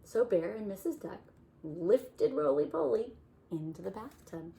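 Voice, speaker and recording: A woman reading a picture book aloud to children, gently asking questions about the pictures. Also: mid-range voice, very animated, unhurried, vocal fry, slight background noise. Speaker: children's story reader. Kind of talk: storytime read-aloud for kids.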